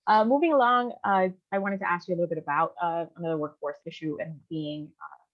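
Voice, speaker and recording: A woman hosting a candidate interview for a business group, asking polite, measured questions. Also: lowish voice. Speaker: chamber of commerce interviewer. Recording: tinny phone-like line.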